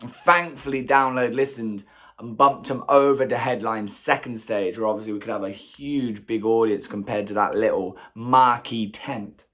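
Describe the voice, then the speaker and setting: Croaky-voiced chatty English man. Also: medium pitch, fairly even intonation, normal speed, a bit bright. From England. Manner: chatty, enthusiastic, rambling asides. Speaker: festival vlogger. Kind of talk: casual solo recap to camera.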